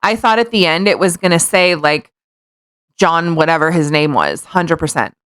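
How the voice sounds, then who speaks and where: A woman with a clean, smooth voice talks casually as a podcast co-host. The sound is dry and close, and her delivery is wry and mocking. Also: low voice, lively intonation, moderate pace, a bit bright, no room sound. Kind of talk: casual chat.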